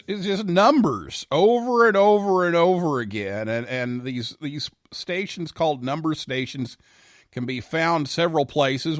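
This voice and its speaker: An American man hosting a radio talk show in a conversational, halting, unhurried manner. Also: a little high, no room sound, studio quality. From United States.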